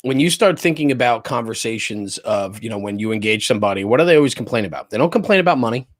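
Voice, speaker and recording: Middle-aged American male interview guest, medium pitch, dry sound, emphatic and opinionated yet casual. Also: animated, quick pace, no room sound. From New Jersey, United States. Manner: emphatic, repeats points, checks with "right?". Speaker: interview guest, fire service spokesperson and former chief.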